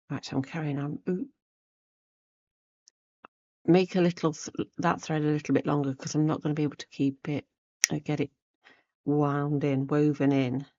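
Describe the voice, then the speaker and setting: A woman with a croaky, hoarse voice from a cold, giving a casual, chatty craft tutorial and thinking aloud as she goes. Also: low voice, dark tone, muffled recording. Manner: unscripted, rambling, thinking aloud while working. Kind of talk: casual chatty needlework demonstration.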